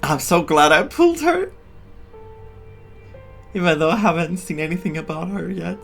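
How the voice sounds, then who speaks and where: A man giving casual, musing playthrough commentary as a game streamer, with halting reactions. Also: on the high side, expressive, vocal fry, a bit bright. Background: game music.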